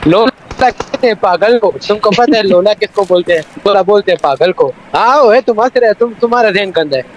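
A man's voice: high-pitched, brisk, rough recording. A man, bantering bossily with his squad in casual online game chat. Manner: bantering, bossy. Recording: faint noise, game sounds behind.

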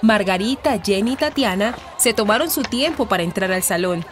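A woman's voice: medium pitch, expressive, a little fast, a faint crowd, slight background noise. A woman with a Colombian accent reading scripted narration for a radio drama.

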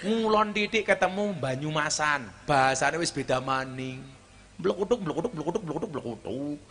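A middle-aged man with a Javanese accent preaching a humorous sermon on an outdoor stage into a handheld mic, joking and swinging between shouts and low asides. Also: animated, moderate pace. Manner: walks the stage with a cane. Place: outdoor stage before a large crowd.

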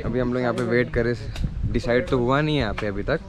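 A man's voice: medium pitch, fast talker, crowd noise. A young man vlogging outdoors by a lake, indignantly complaining to camera about being cheated. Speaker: motovlogger. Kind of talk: casual vlog chat.

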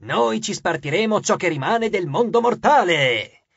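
Man doing a ghost villain, domineering, raspy, loud, dry. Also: sits high, animated, measured pace, no room sound, muffled recording, exaggerated voice. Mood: scheming. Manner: mocking, domineering. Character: scornful ghost suitor, sharp-toothed, yellow-eyed man.

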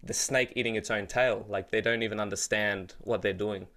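A man's voice: fairly low pitch, steady tone, normal speed, fairly bright tone. A man in his twenties with an Australian accent chatting casually as a podcast host, informal and sweary, close to a studio mic. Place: small home studio room. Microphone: close studio microphone, headphones.

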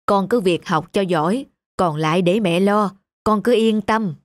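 An actress playing a gentle, weary widowed mother in a cartoon drama, speaking softly and soothingly with some hesitation. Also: medium pitch, a little slow, no room sound, studio quality, lightly acted. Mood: reassuring, evasive. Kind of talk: animated drama dubbing.

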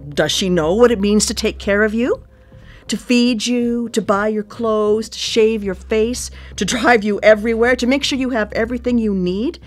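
High-voiced, possessive sister in a radio drama, played by a woman. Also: natural voice. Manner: halting, earnest, pressing with repeated questions. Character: devoted, possessive sister, anxious unemployed cook and caretaker. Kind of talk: naturalistic live radio drama acting.

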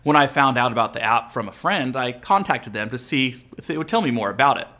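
A man's voice: medium pitch, animated, a little fast, bright, forceful tone. A man in his forties with an American accent, explaining and coaching as a video host in a casual instructional style. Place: furnished living room, indoors.

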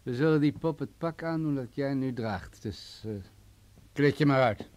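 An actor playing a menacing, wealthy crime boss in a radio play, coolly sadistic, condescending and mocking. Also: male voice, mid-range voice, normal speed, a little mellow, lightly acted. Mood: cool, commanding. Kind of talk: vintage Dutch radio play acting.

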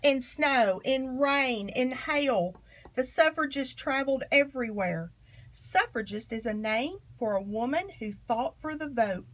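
A woman reading a children's picture book aloud with expressive, emphatic phrasing. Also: sits high, unhurried, a little hiss or hum. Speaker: storybook reader.

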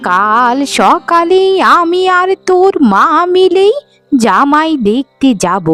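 A woman voicing a sly old great-aunt matchmaker in a cartoon, cajoling in a drawn-out sing-song. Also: high voice, full character voice. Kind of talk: melodramatic Bengali cartoon dialogue.

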